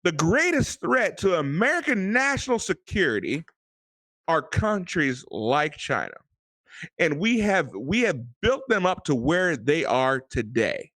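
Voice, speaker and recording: Guy ranting on a late night stream, voice swoops all over, kinda high, repeats himself a lot. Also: very animated, unhurried, fairly bright tone, no echo. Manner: ranting, repeats words while building a point. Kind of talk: casual late-night political chat.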